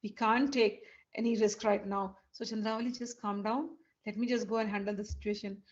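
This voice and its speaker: A woman voicing a charming young man who pleads and sweet-talks a jealous lover, soft and repetitive. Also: mid-range voice, steady tone, a little fast, dull audio, close to an everyday voice. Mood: coaxing. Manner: soft coaxing, repeating himself.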